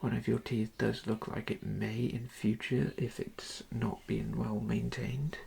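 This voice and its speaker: A person doing an ASMR dentist roleplay in a soft, breathy, creaky whisper, giving calm and reassuring instructions to a patient. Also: medium pitch, a little slow.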